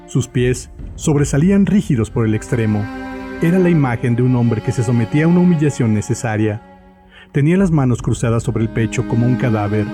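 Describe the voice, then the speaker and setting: A man narrating a scripted horror story as the narrator of an audio drama. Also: mid-range voice. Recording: music under the voice.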